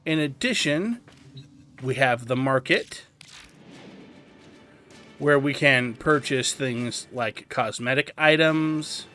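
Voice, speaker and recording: A man giving casual tutorial commentary on a video game, explaining things in deliberate, paused phrases. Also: mid-range voice, expressive, sharp tone, soft background music. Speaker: gaming guide host.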